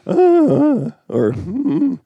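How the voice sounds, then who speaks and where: A man co-hosting a casual film podcast, talking in an animated way, often trailing off and restarting. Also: very high voice, very slow, creaky, no room sound, phone-quality sound. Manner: animated, laughs often, trails off mid-sentence. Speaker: podcast co-host. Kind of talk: casual chat.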